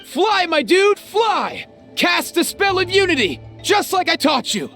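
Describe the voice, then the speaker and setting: Very high-pitched little game hero. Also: male voice, very animated, unhurried, vocal fry, sharp tone, faint music behind, heavily acted. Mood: urging. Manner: solemn, theatrical declaiming. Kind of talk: video game voice acting.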